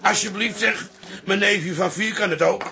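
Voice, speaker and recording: An actor playing a blunt, mocking pub regular in a radio comedy-drama. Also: male voice, high-pitched, moderate pace, creaky, pushed and bright, a little hiss or hum, full character voice. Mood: scornful.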